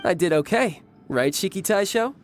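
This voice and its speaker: An actor playing a cocky, playful young warrior in a video game, breezy and teasing. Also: male voice, sits high, expressive, moderate pace. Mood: breezy, confident. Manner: breezy, teasing, self-assured. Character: cocky, playful young male warrior who relishes battle. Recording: background music under the voice.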